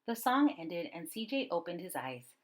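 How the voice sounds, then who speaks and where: Lady reading a kids book out loud, lowish voice, really animated narration. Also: moderate pace, slight background noise. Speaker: storybook reader. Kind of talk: expressive children's read-aloud narration.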